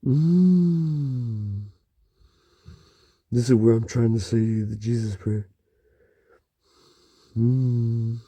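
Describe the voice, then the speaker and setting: A man talking in a halting, rambling monologue, murmuring and pausing with frequent low hums. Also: dark tone, slightly rough.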